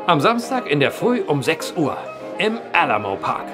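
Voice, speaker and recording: An actor in a German audio drama playing a teasing, unyielding gambler with a wry, mocking manner. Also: male voice, a little high, big pitch swings, normal speed, music in the background. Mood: challenging.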